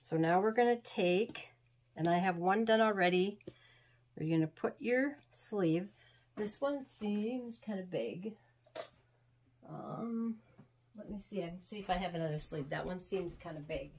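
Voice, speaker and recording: A woman's voice: on the low side, measured pace, darkish tone, slight background noise. Chatty sniffly woman crafter. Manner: chatty, homey, rambling instructions. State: sniffly, getting over being sick. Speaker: craft channel host. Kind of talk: step-by-step craft tutorial. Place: crafting table indoors.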